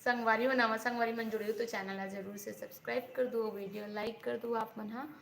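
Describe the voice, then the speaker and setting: A woman's voice: a little high, fairly even intonation, fairly quick, gravelly, a bit bright. A young woman with a Chhattisgarhi accent, chatting casually with viewers on a live stream and asking them to subscribe. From Raipur district, Chhattisgarh. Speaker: vlogger hosting a live stream. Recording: echoey room, faint hiss.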